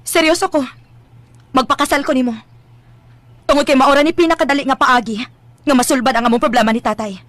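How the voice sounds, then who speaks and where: An actress playing a feisty, self-sacrificing young daughter in a Filipino radio drama, resolute and earnest. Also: female voice, high voice, fairly even intonation, fast talker, pauses often, a bit bright, no echo. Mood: firm. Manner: resolute, earnest, a little sassy. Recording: music bed behind.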